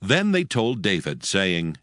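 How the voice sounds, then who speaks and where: Lowish-voiced man narrating scripture. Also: wildly swooping pitch, takes it slowly, fairly bright tone, dry recording, clean studio sound.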